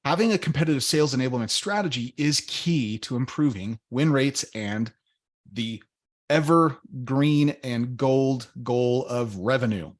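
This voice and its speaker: Male podcast host, mid-pitched, relaxed and friendly, stumbles and restarts. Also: a little slow. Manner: friendly, laid-back, with repeats and restarts. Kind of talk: podcast interview hosting.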